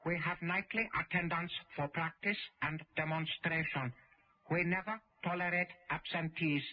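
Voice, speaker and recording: An actor playing a stern, solemn karate master in a radio drama, formal, deliberate and severe. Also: male voice, fairly high pitch, steady tone, close to an everyday voice. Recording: dull old radio recording.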